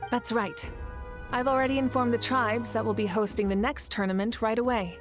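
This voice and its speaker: A woman's voice: fairly high pitch, steady tone, over music, lightly acted. Composed, confident female leader played by a woman. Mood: proud, formal. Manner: composed, measured, formal. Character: confident, calm adult female nation leader, stately. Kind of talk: scripted video game dialogue acting.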